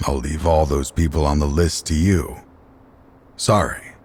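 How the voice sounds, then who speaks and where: A man playing a remorseful, resolute father and dying official in a video game, speaking gravely and haltingly. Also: very deep voice, fairly even intonation. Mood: weary, regretful. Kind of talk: dramatic video game dialogue. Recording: game music behind the voice.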